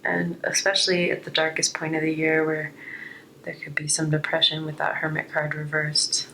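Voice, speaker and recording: Low-voiced woman, slightly hoarse tarot reader. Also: completely flat delivery, normal speed, fairly bright tone, a little hiss or hum, rough recording. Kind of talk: unscripted spiritual card reading, reflective.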